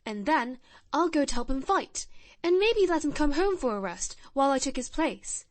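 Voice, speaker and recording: A woman's voice: high voice, expressive, normal speed, no echo. Woman doing an earnest, solemn little boy. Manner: grave, blunt, shy childlike earnestness.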